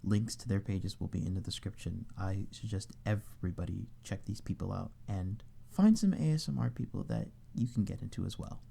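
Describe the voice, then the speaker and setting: A man's voice: low-pitched, brisk, creaky, few pauses, dark tone, husky. A man speaking casually as an amateur audio creator, addressing his listeners directly. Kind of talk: casual outro thanking listeners.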